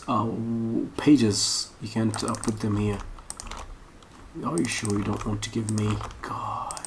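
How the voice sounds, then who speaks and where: A man giving a casual, unscripted screen-share tutorial, rambling and thinking aloud as he searches. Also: on the low side. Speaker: online course instructor. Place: at a computer. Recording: noisy room with keyboard and mouse clicks. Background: typing and mouse clicks.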